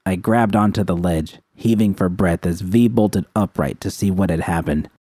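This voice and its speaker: A man narrates a dramatic first-person story as an audiobook reader. His voice is fairly low and very mellow, recorded dry and close. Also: normal speed, no echo.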